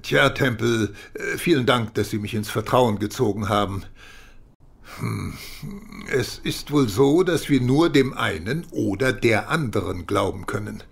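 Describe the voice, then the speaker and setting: Senior police chief, husky, gravelly, formal, deliberate, gentlemanly, radio crime drama. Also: male voice, on the low side, heavily acted. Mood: measured, thoughtful. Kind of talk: German radio play acting.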